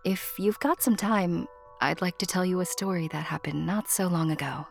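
A woman narrating a scripted story straight to the listener in a playful, intimate, theatrical way, often hushed. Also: on the low side, moderate pace, gravelly, faint music behind.

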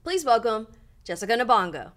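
A woman's voice: on the high side, sing-song, fairly quick, fairly bright tone. A woman hosting a podcast, with a polished, upbeat presenter delivery as she opens the show and asks the interview questions. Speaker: podcast host. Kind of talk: scripted show intro then interview questions.

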